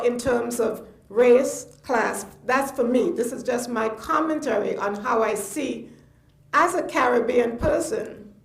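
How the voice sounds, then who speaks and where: An older woman from Trinidad with a Trinidadian accent, speaking off the cuff at a public lecture in an emphatic, halting way. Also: on the high side. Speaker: guest lecturer. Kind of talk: spontaneous public lecture commentary. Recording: echoey room.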